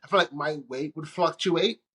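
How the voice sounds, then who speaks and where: Casting: man from the Bahamas, 30s, easygoing guest, slightly high voice, loose rambling delivery. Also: moderate pace, breathy edge. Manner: relaxed, rambling, frequent restarts and laughs. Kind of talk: casual interview chat.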